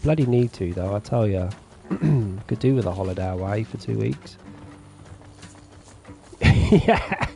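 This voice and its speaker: A man streaming a farming game, chatting casually with his viewers and reading their messages aloud. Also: medium pitch. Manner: relaxed, chatty, reads chat aloud. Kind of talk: casual chat with viewers while gaming.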